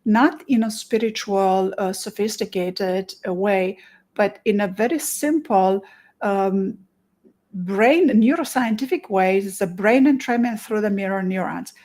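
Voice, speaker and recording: A woman from Romania with a Romanian accent, a therapist giving an earnest promotional talk, with many restarts and stretched pauses. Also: medium pitch, a little mellow. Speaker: therapist and conference presenter.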